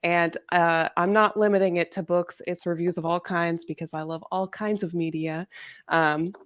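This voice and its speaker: A woman's voice: fairly low pitch, steady tone, normal speed. A woman guest chatting casually on a livestream, informal and peppering her sentences with "like". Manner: informal, self-deprecating, peppered with "like". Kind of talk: casual chat.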